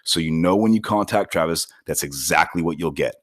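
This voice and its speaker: Male host for sponsor read: low pitch, scripted, dry close sound. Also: a little fast, no echo. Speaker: podcast host reading a sponsor ad.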